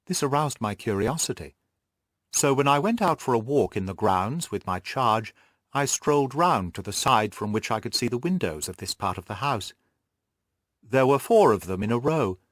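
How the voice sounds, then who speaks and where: A man's voice: mid-range voice, fairly bright tone, no echo. A man narrates as a curious, observant young governess in a Victorian audiobook story. The delivery is earnest and formal, rising and falling expressively. The sound is dry, with no room around it.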